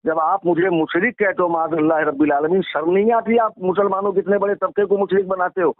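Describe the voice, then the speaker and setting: A man's voice: high voice, fairly even intonation, fast talker, no room sound. A man in a heated religious argument on the phone, combative and taunting, repeating his demands and challenges. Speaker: religious debater. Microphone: phone call. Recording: tinny phone line.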